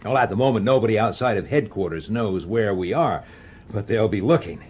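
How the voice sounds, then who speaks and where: An actor playing a gruff, wary police detective in a radio drama, talking in a blunt, colloquial, urgent way. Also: male voice, on the low side, animated, a little fast, darkish tone. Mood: weary. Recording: noisy old radio drama soundtrack.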